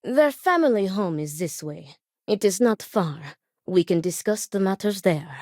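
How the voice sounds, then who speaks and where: Game casting: stern, weary, principled woman played by an actress, formal diction, very animated pitch, dry close sound. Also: no echo, close to an everyday voice. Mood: solemn, earnest. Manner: formal, measured, stern phrasing without contractions. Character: grave, principled woman acting as duel second, devout, weary. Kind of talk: video game character dialogue.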